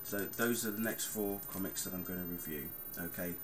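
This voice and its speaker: A man in his thirties rambles through a casual vlog, with many ums and asides. His voice sits very low. Steady background noise runs under the recording. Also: fairly quick. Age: 30s. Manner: chatty and rambling, with many fillers. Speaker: vlogger.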